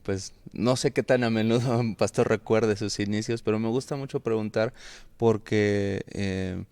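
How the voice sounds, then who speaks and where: A man's voice: fairly low pitch, steady tone, a little slow, a little mellow, clear, resonant tone, dry recording. A man hosting a podcast interview in a friendly manner, with fillers and light laughs. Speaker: podcast host.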